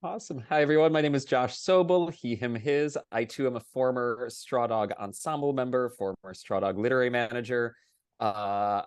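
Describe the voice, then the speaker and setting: A man's voice: medium pitch, smooth, no echo, clean studio sound. A man talking conversationally as a panelist on a video call. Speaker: panelist, director and producer.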